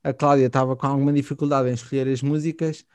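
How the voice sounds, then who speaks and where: A man's voice: medium pitch, level tone, racing, a little mellow, smooth, no room sound. A man with a European Portuguese accent hosting a radio interview, playful and joking. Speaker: radio show host. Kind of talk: casual radio interview chat.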